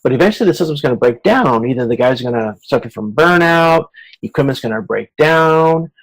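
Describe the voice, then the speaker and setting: A man teaches a class over a video conference in an informal, conversational style. He explains in plain colloquial terms, slipping into quoted hypothetical remarks to illustrate. His voice sits at an ordinary male pitch. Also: animated, a little fast, rough recording. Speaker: lecturer in supply chain management.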